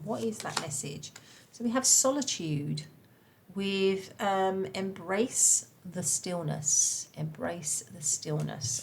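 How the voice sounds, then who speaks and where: A woman with a croaky, slightly nasal voice from a cold, chatting warmly and reassuringly through a pick-a-card tarot reading. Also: mid-range voice, slight background noise. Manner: chatty, reassuring, many fillers. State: croaky voice from a cold, blocked nose. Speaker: tarot reader.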